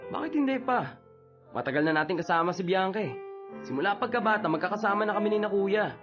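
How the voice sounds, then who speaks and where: An actor playing a brash, cheerful younger brother, animated and teasing, in a radio drama. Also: male voice, high-pitched, fast, music in the background. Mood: insistent. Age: young adult. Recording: dull, band-limited radio drama audio.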